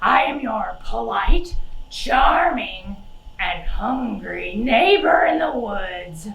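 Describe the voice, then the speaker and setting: A woman's voice: on the high side, animated, takes it slowly, vocal fry, a bit bright, slight background noise, full character voice. A woman voicing a sly, hungry, mock-polite wolf with a folksy, melodramatic manner in a children's puppet show. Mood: sly, charming. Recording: roomy, distant microphone.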